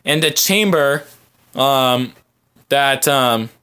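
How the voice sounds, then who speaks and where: A man hosting a casual fan podcast, answering viewer comments in a halting, rambling way as he thinks aloud. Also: medium pitch, sharp tone, smooth. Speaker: podcast host.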